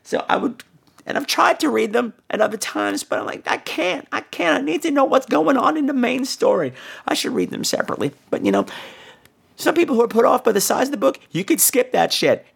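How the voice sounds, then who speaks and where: Male podcast host, sick with flu, theatrical and sarcastic, very lively pitch, some fry in the voice. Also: moderate pace. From New York. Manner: sarcastic, profane, mock-offended. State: ill with flu and laryngitis, on cold medicine. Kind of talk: casual chat, listener feedback segment.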